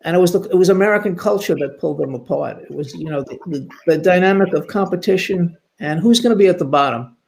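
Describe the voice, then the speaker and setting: A man speaking as a historian on a panel, informal but scholarly, halting at times and building emphatic lists. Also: high-pitched, creaky, a little mellow, poor audio.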